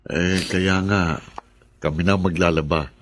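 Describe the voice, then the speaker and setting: A man's voice: low voice, steady tone, measured pace. A man playing a supportive, hardworking poor father in a Filipino radio drama, plain-spoken and reassuring.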